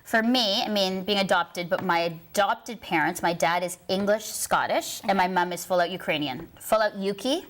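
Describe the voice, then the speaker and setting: A woman's voice: medium pitch, normal speed, few pauses, a bit bright. A woman with a Canadian accent hosting a casual panel talk show in an effusive, enthusiastic way.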